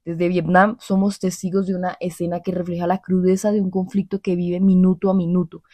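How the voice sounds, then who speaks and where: A woman playing a grave war correspondent in a scripted radio drama, reporting solemnly and in measured phrases. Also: lowish voice, level tone, dark tone, no room sound, natural voice. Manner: solemn, measured, descriptive delivery.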